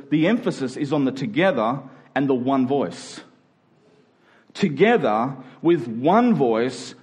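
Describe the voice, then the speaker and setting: A man's voice: fairly high pitch, darkish tone. A man preaching a conversational sermon to a congregation, in colloquial language with emphatic repeated phrases. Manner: colloquial, dramatizing imagined exchanges.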